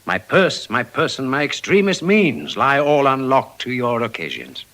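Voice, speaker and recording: Loyal merchant, bright forceful voice. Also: male voice, mid-range voice, sing-song, normal speed. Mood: warm, assuring. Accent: British. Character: devoted, generous Venetian merchant, grave loyal friend. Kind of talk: classical British stage acting of Shakespeare.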